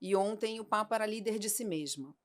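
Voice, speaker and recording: A woman's voice: medium pitch, fairly quick. Woman hosting a chatty podcast. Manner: lively storyteller, asks questions. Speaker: podcast host. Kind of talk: casual chat.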